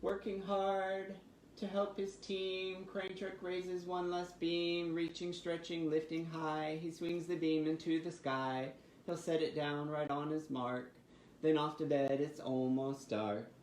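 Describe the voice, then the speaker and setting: A man hosting storytime for young children, with an expressive, sing-song delivery. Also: fairly high pitch, takes it slowly. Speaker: children's library storytime host. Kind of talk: reading picture books and leading finger rhymes. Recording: roomy echo with faint background noise.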